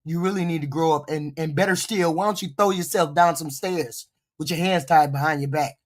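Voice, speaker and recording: A streamer giving blunt, profane live reaction commentary, with a voice deepened by a cold and short of breath. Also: fairly quick.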